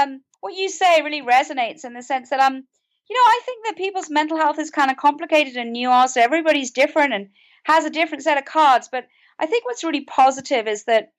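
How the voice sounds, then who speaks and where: A British woman speaking conversationally as an interview guest, explaining things in an earnest way. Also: high-pitched. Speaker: podcast guest, author and mental health advocate.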